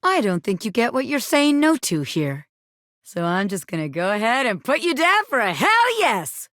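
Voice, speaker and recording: A woman's voice: a little high, big pitch swings, moderate pace, a bit bright, no room sound, clean studio sound. A woman voicing a cocky, self-important bobby pin who fancies itself a crime boss in a video game, swaggering, slangy and boastful. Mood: sly, persuasive. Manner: swaggering, slangy, boastful, peppering talk with pet phrases. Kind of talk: video game character voice acting.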